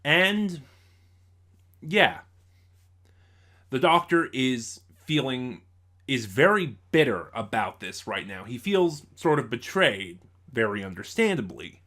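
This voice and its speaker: Man reviewing in a halting, informal way. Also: mid-range voice, big pitch swings, pushed and bright. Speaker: host of a review show. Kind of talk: informal audio drama review to camera.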